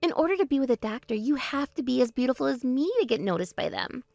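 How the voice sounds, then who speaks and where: A bratty younger sister who brags and sneers, her high voice leaping all over the place, as a woman acts her out. The recording is dry and close, with no room sound. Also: lively intonation, quick pace, vocal fry, a little mellow, no room sound. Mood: smug. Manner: mocking, spiteful bragging. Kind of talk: voice drama, one performer.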